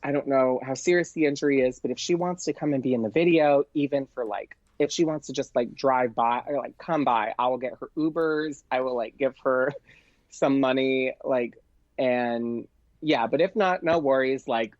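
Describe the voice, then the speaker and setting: A man's voice: medium pitch, moderate pace, no room sound. Gushing, chatty man on a dull, dry recording. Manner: gushing, excitable, frequent "like", acts out dialogue. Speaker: podcast guest, performer. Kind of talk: casual anecdote, chatty storytelling.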